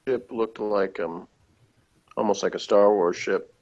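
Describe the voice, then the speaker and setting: A man's voice: on the low side, unhurried, darkish tone. Wry man in slightly dull lo-fi audio. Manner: wry, bluntly opinionated, joking. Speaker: co-host of a TV episode review commentary. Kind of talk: casual reaction chat.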